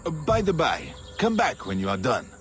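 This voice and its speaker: An actor playing a roguish, flirtatious smuggler with playful theatrical charm in a video game cutscene. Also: male voice, a little high, very animated, a bit bright, faint music behind. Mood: sly, flirtatious. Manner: playful, mock-wounded, drawling, halting.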